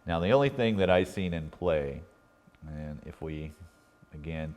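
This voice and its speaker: A middle-aged American man with a notably low voice talks through a hands-on repair as a technician. His delivery is casual and folksy. He explains step by step without hurry, often pausing partway through a thought. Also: darkish tone. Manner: folksy, unhurried explaining with halting pauses. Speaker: electronics repair technician and video host. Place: indoor workbench.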